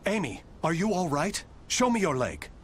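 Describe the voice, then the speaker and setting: A man's voice: a little high, big pitch swings, normal speed, husky. A man playing a proud, devoted swordsman and protector in a video game cutscene, speaking formally and earnestly. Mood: tender, earnest. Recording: loud music behind the voice.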